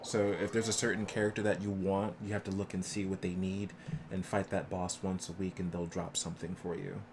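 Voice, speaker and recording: A man's voice: low-pitched, level tone, a little fast, background noise. A man doing casual live commentary as a game streamer, explaining things in an easygoing way.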